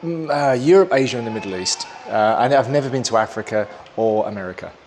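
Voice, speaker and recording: Man, mid pitch, dry and self-mocking; interviewee, faint hum behind. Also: animated, fast talker, darkish tone. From England. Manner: dry, joking, self-deprecating. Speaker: English teacher. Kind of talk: casual interview.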